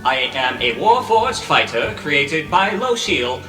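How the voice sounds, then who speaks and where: A man's voice: fairly high pitch, big pitch swings, slow pace, bright, forceful tone. A man playing a tabletop game, giving a playful, neatly prepared introduction to a character. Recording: roomy long reverb, faint music.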